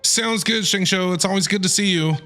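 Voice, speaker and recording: A man giving casual, excited, playful commentary as a game streamer, often exclaiming and repeating himself. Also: high-pitched, a little fast, faint music behind.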